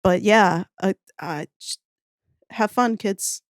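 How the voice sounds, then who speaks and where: A woman's voice: mid-range voice, takes it slowly, dry recording, studio quality. A woman guest on a podcast, chatting casually and thinking aloud with a wry, talkative manner. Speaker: podcast guest, audio fiction writer. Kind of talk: casual chat.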